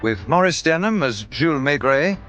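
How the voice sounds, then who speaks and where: A man's voice: on the high side, lively intonation. Man announcing a radio play over guitar. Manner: measured, formal presentation. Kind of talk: formal broadcast announcement. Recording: dull old broadcast recording. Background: guitar music.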